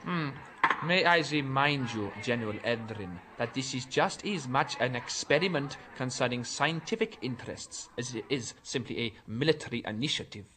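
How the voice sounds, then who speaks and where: An actor playing a middle-aged, defensive professor confined to an asylum, insistent, bitter and articulate, in a radio drama. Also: male voice, mid-range voice, expressive, normal speed, sharp tone, slight background noise. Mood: dryly firm.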